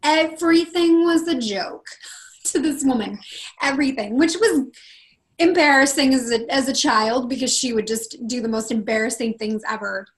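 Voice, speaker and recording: A woman with a husky, hoarse voice, speaking as a podcast guest in a casual interview and acting out quoted dialogue with big jumps in pitch. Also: moderate pace. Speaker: podcast guest, confidence consultant.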